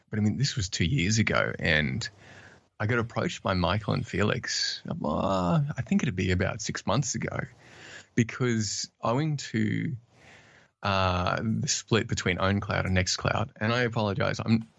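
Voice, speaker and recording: A man's voice: fairly low pitch, measured pace, gravelly, fairly bright tone, no room sound, lo-fi sound. A native English-speaking man answering interview questions, hesitant and polite, with many fillers and restarts. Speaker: podcast guest, documentation writer.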